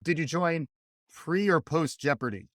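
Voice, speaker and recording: A man's voice: fairly high pitch, a bit bright, no room sound. Podcast host man, dry close sound. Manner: friendly, measured, affirming with short backchannels. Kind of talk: hosting an interview podcast.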